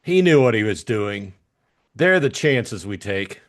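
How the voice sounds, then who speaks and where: A man's voice: on the low side, big pitch swings, measured pace. Blunt, cynical hard-boiled man acting as a private detective. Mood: firm, blunt. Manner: blunt, sardonic, matter-of-fact. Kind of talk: audiobook character acting.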